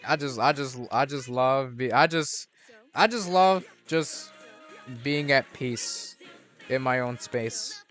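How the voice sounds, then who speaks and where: A man's voice: mid-range voice, takes it slowly, a bit bright, soft background music. A young man chatting casually while playing a game, rambling with frequent restarts and trailing thoughts. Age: young adult. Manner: rambling, lots of restarts and fillers. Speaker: gaming streamer. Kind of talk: casual gameplay commentary.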